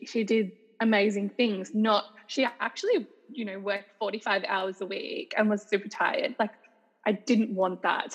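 An Australian woman in her thirties, a salon owner chatting as a podcast guest, telling her story in a lively, laughing way. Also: mid-range voice, slightly rough, low-quality recording. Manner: chatty, laughs often, quick restarts. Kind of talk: casual podcast interview.

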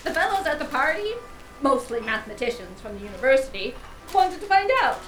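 A woman's voice: high-pitched, big pitch swings, a little fast, a bit bright, a little hiss or hum, low-quality recording. A woman playing an arch, pointed middle-aged wife who coolly needles her friend in an audio drama. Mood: chatty, storytelling.

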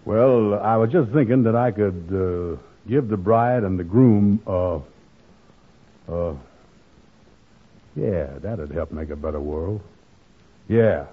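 A man playing a gullible, soft-hearted, grumbling fellow in a 1940s radio comedy, with hesitant, folksy, ungrammatical speech. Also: on the low side, slow pace, pauses often, husky. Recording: muffled, noisy old radio broadcast.

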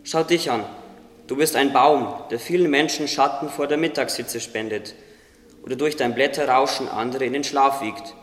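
A man's voice: medium pitch, moderate pace, natural voice. A man playing a wise, modest winged creature who encourages a friend, earnest and instructive, in a religious radio play. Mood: encouraging. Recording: echoey, long reverb, noisy ambience.

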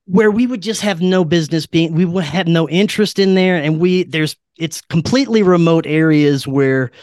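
A man's voice: fairly high pitch, moderate pace, darkish tone, no room sound, clean studio sound. A man talking casually and earnestly as a podcast guest, telling strange stories in an informal way. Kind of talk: casual conspiracy and paranormal talk.

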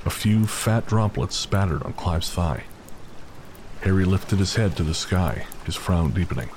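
A man's voice: deep voice, a little mellow, a little hiss or hum. A man giving scripted, dramatic narration for an audio story. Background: music, rain and storm sound effects.

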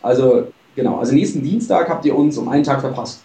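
Rambling fast-talking man, roomy. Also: mid-range voice, mellow, recorded from a distance. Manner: rambling, filler-heavy, admits stuttering. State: tired. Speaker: show host. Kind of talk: casual chat. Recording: roomy, from off mic.

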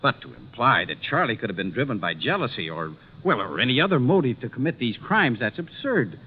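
A man's voice: medium pitch, big pitch swings, a little fast, husky, phone-quality sound. A man playing an indignant, defensive businessman, formal and emphatic, in a 1950s radio drama. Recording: thin, noisy 1950s radio recording.

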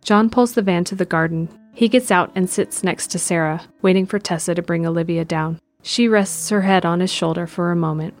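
A woman narrating a scripted audio drama in a measured, descriptive way. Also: fairly low pitch, soft background music.

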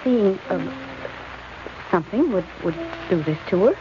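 A woman's voice: medium pitch, expressive, unhurried, close to an everyday voice. An actress playing a nervous, loyal female sidekick to a detective in a 1940s radio mystery. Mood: frightened, hesitant. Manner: nervous, hesitant, wry. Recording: muffled old radio recording, loud music behind.

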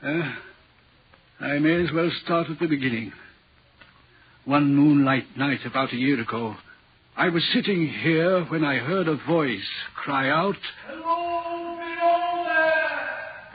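A man's voice: a little high, expressive, slow, long pauses, husky, heavily acted. An actor playing an anxious, troubled railway signalman in an earnest, dramatic 1940s radio horror style. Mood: haunted, agitated. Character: haunted, anxious railway signalman telling of a spectre. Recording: dull, muffled 1940s radio recording.

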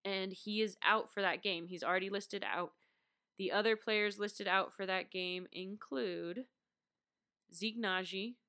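A woman's voice: mid-range voice, bright, forceful tone, dry recording, clean studio sound. A young adult woman with a stuffed-up, congested voice, chatting casually as a basketball podcast host, with lots of "like" and laughs. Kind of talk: casual chat.

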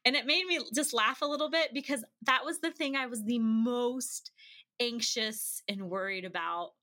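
A middle-aged woman giving advice as a podcast guest in a casual interview, chatty and animated and given to laughing. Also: a little high, moderate pace. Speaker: podcast guest, language-learning company founder.

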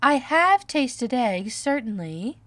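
Woman playing an earnest storybook little girl, high voice, lively swooping intonation, polite, puzzled, indignant. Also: slow, dry recording, heavily acted. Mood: earnest protest. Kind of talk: dramatised storybook reading.

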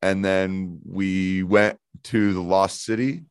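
A man's voice: sits low, fairly even intonation, slow, clear, resonant tone, no room sound, studio quality. A man hosting a podcast in easygoing, enthusiastic interview chat with frequent restarts. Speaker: podcast host.

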